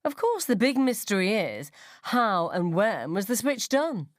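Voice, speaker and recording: A woman's voice: medium pitch, big pitch swings, unhurried, dry recording, studio quality, pitched above the performer's own voice. A woman voicing a sly, unworried school mum with a knowing, teasing way of talking in a radio story. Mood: smug, knowing.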